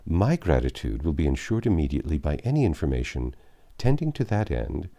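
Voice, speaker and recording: A man's voice: rumbling low, very animated, fairly quick, gravelly, warm tone, heavily acted. A man voicing a sensible, composed young Regency lady from a novel, polite and formal. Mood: composed, grateful. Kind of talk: storybook character reading.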